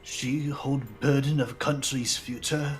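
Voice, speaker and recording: A performer playing an incredulous, questioning high school student in an amateur anime game fandub, earnest in delivery. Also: fairly even intonation, slow pace, soft background music, natural voice. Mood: puzzled.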